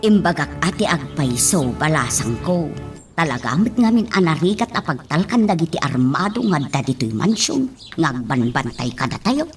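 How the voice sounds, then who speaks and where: An actress playing a frank, worried household nanny in an Ilocano radio drama. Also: female voice, sits low, very animated, normal speed, soft background music. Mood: concerned, frank.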